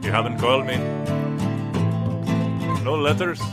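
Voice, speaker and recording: A man playing an anxious, lovelorn suitor, rambling plaintively in halting phrases in an improvised comic monologue. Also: medium pitch, lightly acted. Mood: plaintive, indecisive. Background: guitar music.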